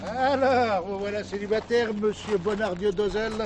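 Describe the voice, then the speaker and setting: A man acting a gruff, domineering small-town sheriff in a French stage play, scolding and emphatic. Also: high-pitched, moderate pace, roomy sound, lightly acted. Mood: teasing, curious. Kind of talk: French boulevard theatre acting. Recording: noisy old theatre play recording.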